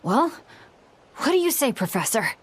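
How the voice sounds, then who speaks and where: A woman voicing an earnest, plucky teenage boy adventurer in a game, halting and breathy, with music behind. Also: high voice, very animated. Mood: grateful, upbeat. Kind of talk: English game voice acting, dramatic monologue.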